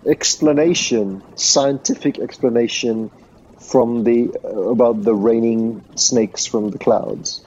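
A man's voice: mid-range voice, fairly even intonation, slow, a little mellow, highs cut off, slight background noise. A man who grew up in Sri Lanka, co-hosting a podcast and arguing earnestly in a rambling way, with lots of fillers. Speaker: podcast co-host. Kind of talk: casual debate.

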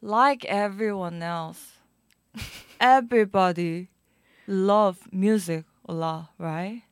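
Korean-accented young woman, halting English, smooth clear voice, slow and lowish. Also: dry recording, studio quality. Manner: hesitant, halting English with fillers. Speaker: guest musician and DJ. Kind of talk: casual interview.

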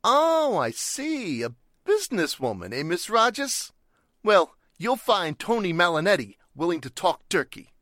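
A man acts out a slick, shady racketeer from a forties radio crime play. His slangy tough-guy talk swings up and down in pitch and is quick to bristle, on a dry, close recording. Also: very animated, a bit bright, no room sound, full character voice. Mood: slick, sly. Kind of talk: 1940s radio crime drama acting.

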